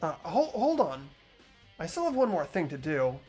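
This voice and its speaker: Performer voicing an unsure, good-hearted teen boy; stammers, pitch swings widely. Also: sing-song, moderate pace, a little mellow, husky, soft background music. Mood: earnest. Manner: hesitant, stammering, earnest. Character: earnest, hesitant teenage boy student. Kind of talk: amateur voiced game playthrough.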